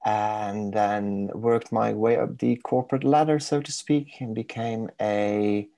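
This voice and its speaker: A man's voice: on the low side, steady tone, slow pace, creaky, mellow, no room sound. A man in his forties from Belgium with a Belgian accent touched by Manchester, speaking reflectively as a mindfulness coach on a podcast. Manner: thoughtful, searching for words, self-deprecating humour.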